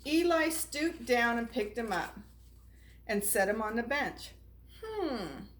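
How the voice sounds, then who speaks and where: A woman reading a children's storybook aloud in an expressive, unhurried way. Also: a little high, slight background noise. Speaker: storybook reader.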